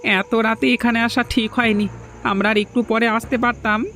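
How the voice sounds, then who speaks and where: A man's voice: very high voice, level tone, normal speed, sharp tone, soft background music, full character voice. A man playing a timid, earnest young villager in a fairy-tale cartoon, with an anxious, questioning manner. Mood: worried. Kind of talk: dramatic dubbed cartoon dialogue.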